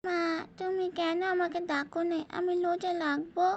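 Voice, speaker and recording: A woman's voice: very high voice, steady tone, moderate pace, dull audio. A woman voicing a small, stubborn, eager Bangladeshi girl in an amateur cartoon.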